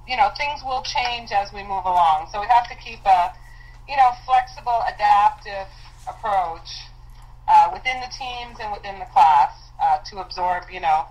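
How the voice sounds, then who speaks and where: A woman's voice: medium pitch, rough recording. A woman instructor speaking informally on a conference call, phrasing things hesitantly with many filler phrases. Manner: hesitant phrasing, frequent "you know". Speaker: university instructor. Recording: phone or internet conference line.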